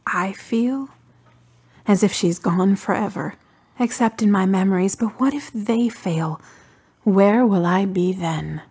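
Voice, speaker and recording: A woman acting in a radio drama as a grieving adult daughter full of doubt, pensive and questioning, with a breathy voice. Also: mid-range voice, slow pace, a little mellow. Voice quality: husky, breathy.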